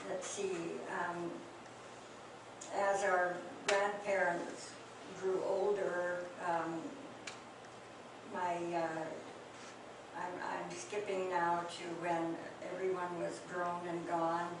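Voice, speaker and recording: An older woman telling family memories to a small group in a hesitant, reminiscing way, with many pauses and fillers. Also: fairly low pitch, level tone. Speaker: speaker recalling family memories. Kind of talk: reminiscing oral history talk. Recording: echoey room, distant mic, noisy.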